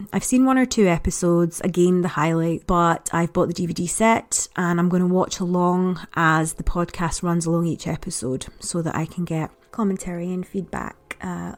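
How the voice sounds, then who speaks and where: A woman giving a casual spoken review as a podcast listener. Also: on the low side. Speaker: podcast listener sending in comments.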